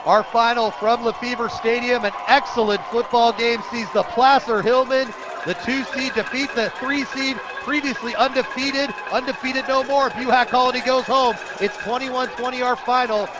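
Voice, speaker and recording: An American man doing live radio play-by-play of a football game in an excited, emphatic way. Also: very high voice, moderate pace, few pauses, poor audio. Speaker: play-by-play sports announcer. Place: outdoor football stadium. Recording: stadium crowd behind, outdoor game broadcast. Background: stadium crowd.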